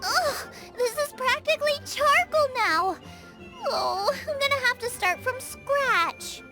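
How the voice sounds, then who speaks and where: A woman's voice: extremely high pitch, expressive, slow, loud music behind the voice, full character voice. A woman voicing an upbeat, bossy young girl chef who gives eager instructions, in a game. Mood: frustrated. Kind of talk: game voice acting. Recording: game soundtrack under voice.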